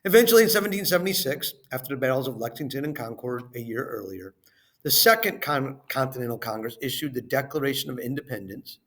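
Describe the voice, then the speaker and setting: A man reading a lesson and worksheet questions aloud as a teacher, in a deliberate, instructional way, stressing phrase openings and trailing off at the ends. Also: mid-range voice, expressive, a bit bright.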